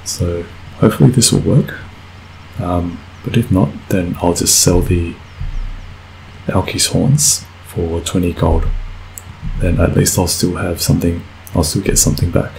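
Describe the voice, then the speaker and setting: Croaky guy with a cold, super low gravelly voice, rambling game commentary, faint hiss behind. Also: steady tone, measured pace, darkish tone, poor audio. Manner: rambling, self-correcting, frequent fillers. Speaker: let's play gamer. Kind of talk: casual gameplay commentary.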